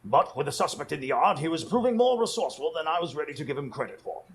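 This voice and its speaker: A man playing a detective's older companion, narrating a radio drama in a measured, wry way. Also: a little high, very animated, a little hiss or hum. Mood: grave, observant. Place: performance space in front of a live audience.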